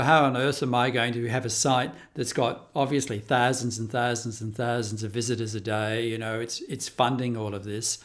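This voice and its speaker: A man's voice: mid-range voice, a bit bright. A man interviewing a guest in a conversational style, putting questions and remarks to them.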